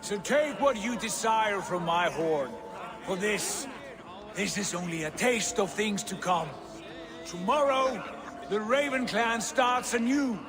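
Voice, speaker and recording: Echoing hall, music underneath, boastful Viking lord, slow declamatory delivery, video game. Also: male voice, high voice. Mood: rousing, jubilant. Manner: grandiloquent, declamatory. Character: boastful Viking lord back from years of raiding. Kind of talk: rousing feast-hall speech.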